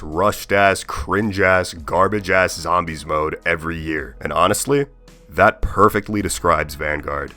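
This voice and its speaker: A young man with a very deep, slightly hoarse voice that is still recovering from a cold, talking casually and swearing freely. His pitch swings up and down a lot as he rants, with light music playing behind him. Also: fairly bright tone. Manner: casual, profane, lots of fillers. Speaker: gaming video creator. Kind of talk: casual opinion commentary.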